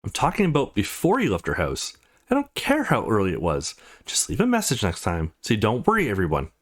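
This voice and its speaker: A man's voice: fairly low pitch, huge pitch swings, fairly quick. A man voicing a brash, foul-mouthed, teasing young woman who is a loyal friend in a visual novel game. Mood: scolding.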